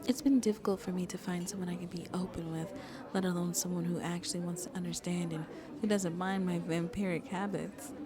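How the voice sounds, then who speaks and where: A woman playing a shy but playfully flirty vampire on a date in an ASMR roleplay, speaking softly and intimately and often slipping into breathy whispers. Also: lowish voice, fairly even intonation, a little fast, a crowd in the background, close to an everyday voice. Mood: intimate, teasing.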